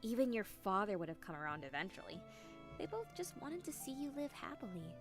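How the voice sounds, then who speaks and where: Woman as sincere, caring cartoon pony, medium register, measured, faint music behind. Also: mid-range voice, big pitch swings, natural voice. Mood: somber, careful. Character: earnest, caring female cartoon pony friend.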